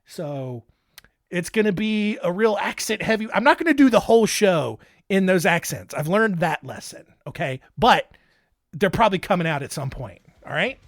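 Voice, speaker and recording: A man's voice: high-pitched, very animated, moderate pace, dry recording. Casual male podcast host, joking and playful, dry close mic. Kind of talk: casual solo podcast chat.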